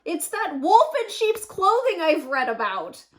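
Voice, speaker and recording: A woman voicing a hesitant, bookish, blunt male sheep with halting speech in a picture-book reading. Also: very high-pitched, sing-song, fairly bright tone, pitched above the performer's own voice, exaggerated voice. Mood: matter-of-fact. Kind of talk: picture book read-aloud.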